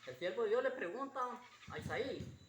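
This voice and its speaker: A preacher with a slightly husky voice gives an informal Bible sermon in a halting, repetitive, homespun way. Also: medium pitch, expressive. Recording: roomy echo with faint background noise.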